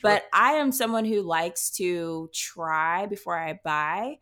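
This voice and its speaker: A woman guest on a podcast speaks with a fairly low voice. She is short on sleep, but she stays upbeat and laughs between her words, with fillers and small restarts. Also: slow, a bit bright. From American South. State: sleep deprived. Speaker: guest, wedding photographer. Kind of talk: casual podcast interview.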